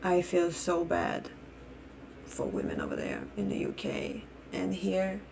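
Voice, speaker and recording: Young Russian-born woman, native Russian speaker, fiery profane vlog rant, low-set pitch. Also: wildly swooping pitch, measured pace, gravelly, noisy background. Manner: blunt, heated and profane; repeats and restarts phrases. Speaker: vlogger. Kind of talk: opinion rant.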